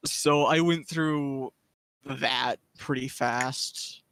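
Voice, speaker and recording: A man on a casual podcast, telling a childhood story quickly with giggles. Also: medium pitch, fairly even intonation, a bit bright, slight background noise. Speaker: podcast co-host. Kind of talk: casual chat.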